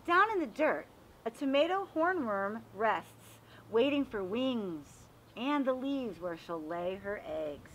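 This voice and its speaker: A woman reading a children's picture book aloud in an expressive, animated way, as if showing it to young listeners. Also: on the high side, slow pace, a little hiss or hum, poor audio. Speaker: read-aloud reader for children.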